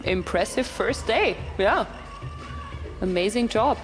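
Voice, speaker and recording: Excited female co-commentator with faint music in the background. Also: medium pitch, poor audio. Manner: enthusiastic, quick to react. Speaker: event co-commentator. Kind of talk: live sports commentary.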